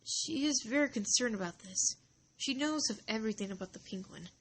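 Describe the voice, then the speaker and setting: A woman narrating a story informally, halting and deliberate, with dramatic pauses between short phrases. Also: a little high, expressive, rough recording.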